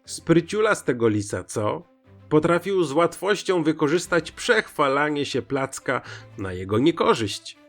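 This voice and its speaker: Male children's storyteller, higher-than-average voice, measured pace, expressive, with music underneath. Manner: expressive, dramatic. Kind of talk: scripted children's fairy tale narration.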